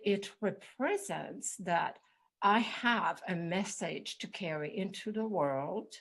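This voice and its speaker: A woman from Quebec with a French Canadian accent, a native French speaker explaining her ideas earnestly and haltingly as an interview guest. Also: medium pitch, creaky. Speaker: interview guest, institute founder.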